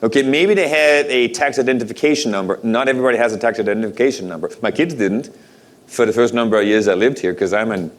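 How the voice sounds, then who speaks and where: A man's voice: medium pitch, fast talker. A middle-aged man from Denmark giving a conference keynote, asking the audience rhetorical questions in a conversational way. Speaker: conference speaker. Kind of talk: keynote talk.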